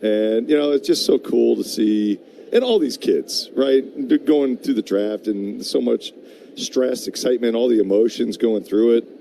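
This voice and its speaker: A man's voice: mid-range voice, normal speed, creaky, warm tone, low-quality recording. A middle-aged man giving casual, conversational color commentary on a baseball broadcast. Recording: broadcast with faint background noise.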